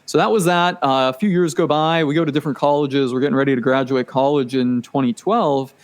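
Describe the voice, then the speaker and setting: A man in his late twenties, a podcast guest chatting casually and telling stories with animated energy and easy laughter. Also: medium pitch, a little fast. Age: 20s. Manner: animated storyteller, laughs easily. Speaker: podcast guest, business owner. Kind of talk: casual interview chat.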